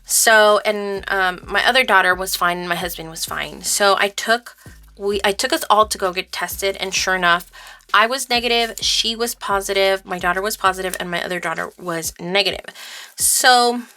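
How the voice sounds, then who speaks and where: A woman vlogging about budgeting in casual, informal chat, speaking in a halting, stop-and-start way. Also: medium pitch, sharp tone. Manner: informal, halting storytelling interleaved with counting. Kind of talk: casual chat while counting cash aloud.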